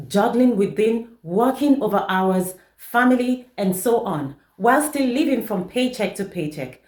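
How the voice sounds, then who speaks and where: A woman from Nigeria speaks in an upbeat, persuasive tone with a lively, somewhat high voice. She delivers a promotional pitch as host. The room adds a noticeable echo. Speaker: online business mentor, host. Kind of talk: promotional testimonial address.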